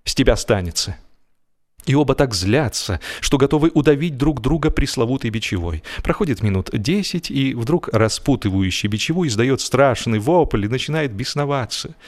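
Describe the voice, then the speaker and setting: A man narrating a humorous literary story in an expressive, measured way with deliberate pauses. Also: medium pitch. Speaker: audiobook narrator.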